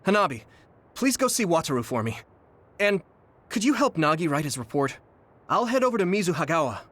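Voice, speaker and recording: Earnest young rookie, high animated voice. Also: male voice, brisk. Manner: earnest, polite. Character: earnest, polite, eager young male rookie soldier, anime. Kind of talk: English anime game voice acting. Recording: music behind the voice.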